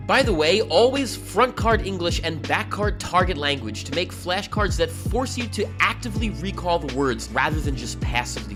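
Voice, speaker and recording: A man with a slightly raised voice lays out his study method step by step, in a methodical and instructive manner. Clear music runs underneath. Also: fairly high pitch. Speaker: language learner. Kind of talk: casual explanation to camera.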